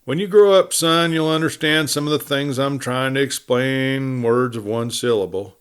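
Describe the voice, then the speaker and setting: A man's voice: mid-range voice, normal speed, a bit bright, smooth, lightly acted. A man performs a tough cowboy from a western storybook. He preaches with unshakable conviction in an American Western drawl. His manner is folksy and opinionated, like someone schooling a younger partner. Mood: insistent, emphatic.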